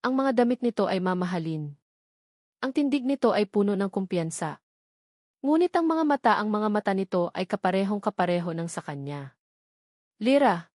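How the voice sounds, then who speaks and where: A woman's voice: medium pitch, expressive, normal speed, long pauses, darkish tone, dry recording, clean studio sound. A woman with a Filipino accent reading a scripted story as a dramatic narrator.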